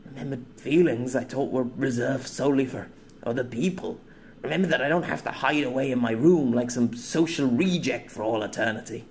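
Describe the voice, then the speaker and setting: A man's voice: mid-range voice, brisk, slight background noise, natural voice. A man voicing a lonely, reclusive man who pleads while crying and stammering. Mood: pleading. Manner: desperate, stammering.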